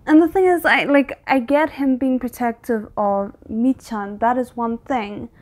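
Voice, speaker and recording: A woman's voice: a little high, unhurried, a little mellow. A woman in her twenties giving casual, candid and emphatic reaction commentary in a bedroom. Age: 20s. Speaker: reaction video host. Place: small bedroom indoors.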